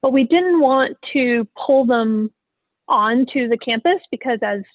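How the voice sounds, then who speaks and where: A woman's voice: on the high side, lively intonation, a little slow, dry recording. A woman presenting plans at an online public meeting, over thin phone-like call audio. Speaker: facilities planning presenter.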